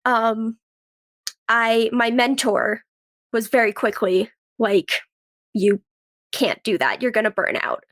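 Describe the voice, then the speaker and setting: A woman in her twenties chatting casually as an interview guest, telling stories in an animated way with quoted asides. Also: fairly high pitch, takes it slowly, pauses often. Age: 20s. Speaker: podcast guest, actress and writer.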